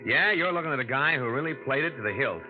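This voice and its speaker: A man's voice: medium pitch, animated, quick pace, natural voice. A man playing a wry streetwise drifter. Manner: casual, wry, tough-guy first-person telling. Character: down-on-his-luck young man narrator, streetwise. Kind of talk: 1940s radio drama acting. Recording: tinny old radio broadcast with music.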